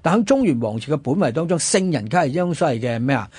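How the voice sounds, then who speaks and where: A man's voice: fairly high pitch, animated, fast, a little mellow, breathy edge, no room sound. A man with a Hong Kong Cantonese accent discussing history emphatically, often asking rhetorical questions. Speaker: history scholar on a radio programme.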